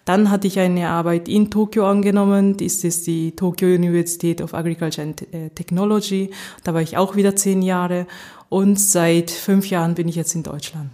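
A woman's voice: fairly low pitch, steady tone, normal speed, warm tone. A woman, a guest in an interview, speaking hesitantly with many fillers and restarts. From Japan. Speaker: interview guest, agricultural researcher. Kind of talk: casual interview.

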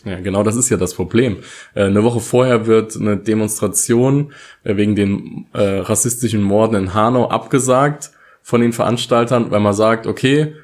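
A man's voice: on the low side, normal speed, a little mellow. A man co-hosting a podcast, talking about his views in an informal, conversational way. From Saarland, Germany. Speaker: podcast co-host. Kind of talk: casual political podcast talk.

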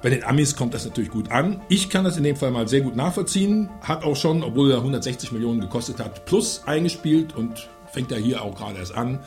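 A man's voice: medium pitch, expressive, a little fast, hardly any pauses, a little mellow. Casual man reviewing films over music. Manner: colloquial, rambling. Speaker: radio show film reviewer. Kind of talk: casual film review commentary.

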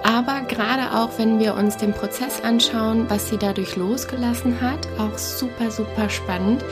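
A woman's voice: on the high side, steady tone, normal speed, music in the background. A woman hosting a podcast, talking enthusiastically with frequent fillers. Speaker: podcast host. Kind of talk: casual podcast interview. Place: cozy living room.

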